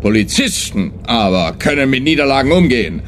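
A man's voice: mid-range voice, big pitch swings, a little fast, slight background noise, close to an everyday voice. A man playing a pompous, self-satisfied police officer who lectures in a comic radio crime drama. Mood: smug, lecturing.

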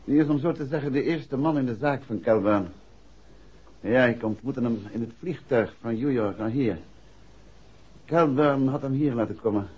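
Casting: male actor for unflappable, polite sleuth in 1960s radio serial; slightly rough voice, muffled old recording. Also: mid-range voice, moderate pace, close to an everyday voice. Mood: composed, courteous. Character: composed, courteous male amateur detective, briskly businesslike. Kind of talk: 1960s radio play acting.

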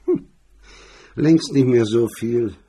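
A man voicing a haughty, scornful female film star in a crime radio drama, husky and disdainful. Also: mid-range voice, slow, dark tone, voice pushed up. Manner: disdainful, snide, affected.

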